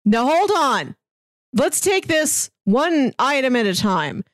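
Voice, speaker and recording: Female podcast co-host, high-pitched, wry conversational banter, dry studio-like sound. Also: very animated, slow, no room sound. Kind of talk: casual, joking pop culture chat.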